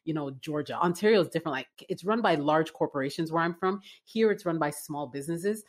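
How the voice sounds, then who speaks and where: Low-pitched woman from canada chatting through her story, lots of ums and restarts. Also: brisk. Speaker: guest, writing business owner. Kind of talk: casual interview conversation.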